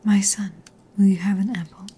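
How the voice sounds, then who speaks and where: Breathy, whispering wicked stepmother played by a woman. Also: medium pitch, level tone, normal speed, mellow. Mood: coaxing. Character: wicked, scheming stepmother with feigned kindness.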